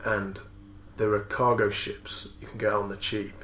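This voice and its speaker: A man's voice: fairly low pitch, flat delivery, a little slow, fairly bright tone, a little hiss or hum. A man in casual conversation, swapping anecdotes about films in an informal, sweary storytelling manner. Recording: roomy, echoey sound.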